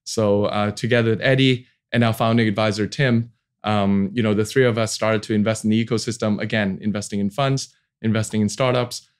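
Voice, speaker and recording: A relaxed man in his forties, from Hong Kong, speaking in a fairly low voice. He talks about his career in a loose, conversational way, full of ums and restarts, and laughs easily. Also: fairly quick, smooth. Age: 40s. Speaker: venture capital founder, guest. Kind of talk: casual interview talk.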